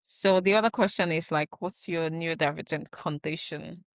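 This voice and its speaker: A woman's voice: low-pitched, normal speed, dry recording. A woman hosting a podcast, asking questions in a chatty way with lots of likes and laughter. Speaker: podcast host. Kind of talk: casual interview chat.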